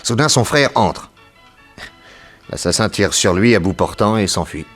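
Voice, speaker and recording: An actor playing a composed, authoritative detective in a French radio serial, speaking in a brisk, assured way. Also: male voice, lowish voice, animated, fairly bright tone, soft background music. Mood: methodical, deductive. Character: famous detective, male, composed, authoritative, methodical.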